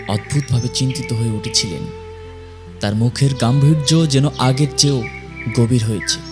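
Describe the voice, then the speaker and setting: A man narrates a spooky tale with dramatic pauses. His phrasing is measured and full of suspense. A clear music bed runs underneath. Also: medium pitch. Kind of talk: dramatic scripted ghost-story narration.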